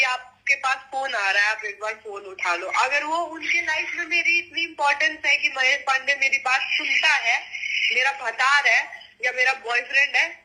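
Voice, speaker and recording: A woman's voice: sits high, pushed and bright. A woman arguing on a recorded phone call, insistent and defensive, often repeating herself. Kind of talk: argumentative phone conversation. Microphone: telephone.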